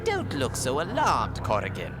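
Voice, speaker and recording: An actor playing a scheming demon reaper in an audio drama, with a theatrical, gloating, mocking manner. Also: male voice, mid-range voice, huge pitch swings, moderate pace, noisy background. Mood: smug, ominous. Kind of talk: audio drama villain acting.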